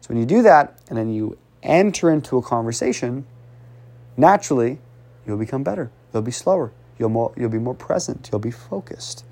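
Animated, sighing male speech coach. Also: medium pitch, normal speed. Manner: animated, repetitive, urging, with audible sighs. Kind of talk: casual coaching talk.

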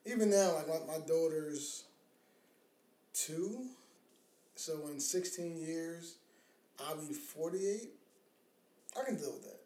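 A man in his thirties talks casually on a podcast in a slightly high, soft-edged, mellow voice. He is slangy, drops curse words and likes to tease. The recording has a clear room echo. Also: animated, slow, long pauses. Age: 30s. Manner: slangy, swearing, teasing banter. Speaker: podcast co-host. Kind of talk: casual chat about parenting. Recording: roomy, echoing sound.

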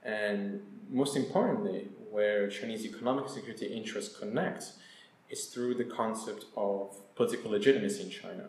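A man's voice: on the low side, a little mellow. Young Italian man, echoey room. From Italy. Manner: measured, with frequent filler pauses. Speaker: guest. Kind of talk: academic interview answers. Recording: echoey room, faint hiss.

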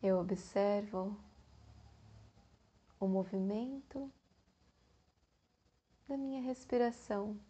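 Very slow, soft-edged woman guiding meditation. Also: mid-range voice, breathy edge. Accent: Brazilian Portuguese. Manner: slow, soothing delivery with long pauses. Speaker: meditation guide. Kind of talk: guided meditation.

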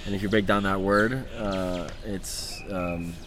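A lowish male voice, thoughtful and unhurried as he gropes for words, talking outdoors with clear street noise around him. Originally from Venezuela, he hosts a relaxed chat. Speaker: host. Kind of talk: casual conversation. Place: outdoors in a city. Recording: noisy outdoor street recording.

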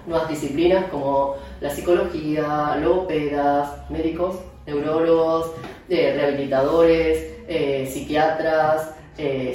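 Argentine-accented woman guest, explanatory, halting; echoey distant recording. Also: deep voice, darkish tone. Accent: Argentine Spanish. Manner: explanatory, many restarts and fillers. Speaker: neurologist guest. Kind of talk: interview answers. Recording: echoey room, distant mic.

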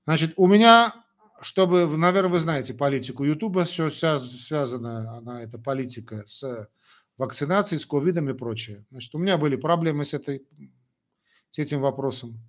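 An older man with a slightly higher-pitched voice, talking as a doctor on a live stream. He speaks bluntly in short, emphatic phrases. His talk is loose and full of fillers. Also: fairly quick, fairly bright tone. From Armenia. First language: Armenian. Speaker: doctor answering viewer questions. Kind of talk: live-stream Q&A, frank and chatty.

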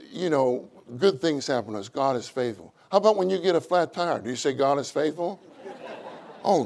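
A middle-aged man with a loud voice, preaching a sermon with animated, acted-out quotes. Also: creaky. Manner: animated, acts out quotes with rising pitch.

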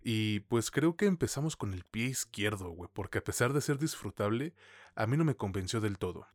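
Mexican man, lowish voice, dry close recording, casual movie review, slangy podcast co-host. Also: animated, brisk, no room sound. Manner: informal, slangy, mildly profane. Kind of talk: casual film review chat.

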